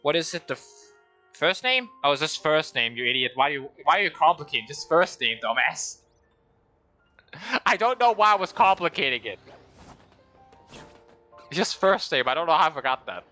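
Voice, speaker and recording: A man's voice: a little high, big pitch swings, normal speed, pauses often, sharp tone, soft background music. A man streaming a game, rambling and joking at himself as he talks through it. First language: Danish. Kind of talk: casual game livestream commentary.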